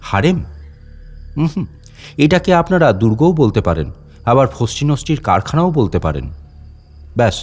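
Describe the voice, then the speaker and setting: Male storyteller as talkative, guarded fort guide for a dramatic audio story; medium pitch, very lively melody, conversational delivery to unseen tourists. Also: natural voice. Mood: brisk, dismissive. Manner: conversational, addressing unseen listeners with questions. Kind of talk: dramatic first-person monologue narration.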